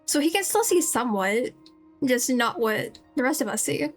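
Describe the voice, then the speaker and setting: A woman's voice: high voice, lively intonation, moderate pace. A woman livestreamer giving casual, rambling game commentary as she chats to her viewers. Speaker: livestreamer playing a video game. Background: game music.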